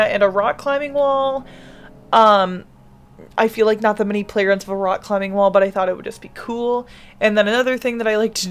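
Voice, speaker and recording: A woman's voice: medium pitch, clear, resonant tone. A young American woman with a slight lisp, giving a casual, rambling voiceover of her game build. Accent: General American. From United States. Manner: rambling, self-interrupting, laughs often.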